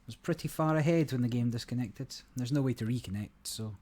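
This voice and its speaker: Slightly croaky man casually commenting gameplay. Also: medium pitch, fairly quick. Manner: relaxed, rambling, many fillers. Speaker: gaming channel host.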